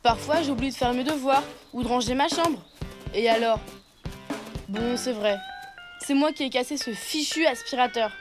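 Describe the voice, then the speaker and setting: A woman's voice: sits high, normal speed, close to an everyday voice. A woman voicing a mischievous young elephant schoolboy in a French cartoon, plaintive and self-justifying. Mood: glum. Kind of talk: French cartoon dub acting. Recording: music behind the voice.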